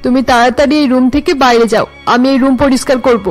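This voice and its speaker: A woman acts a bossy middle-aged mother in a cartoon family drama. She is high-voiced, scolding and suspicious, with light music underneath. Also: steady tone, fast talker, natural voice.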